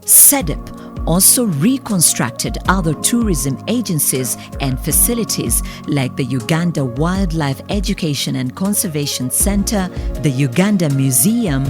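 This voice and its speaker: Low-voiced woman narrating over music. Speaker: documentary narrator. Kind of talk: scripted narration. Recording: music behind the voice. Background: background music.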